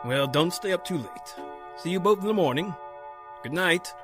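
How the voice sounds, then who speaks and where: An actor playing a solemn, authoritative older man in old-time radio horror, measured and portentous. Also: sits high, animated, over music. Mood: weary, courteous.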